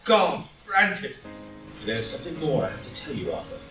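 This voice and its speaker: A man's voice: fairly high pitch, very animated, moderate pace. A man playing a proud, tormented statesman full of shame, melodramatic and declamatory in a staged play reading. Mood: anguished, despairing. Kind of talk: stage play read aloud as audio drama. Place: indoor room, live in-person reading. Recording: roomy live reading, distant mic, music.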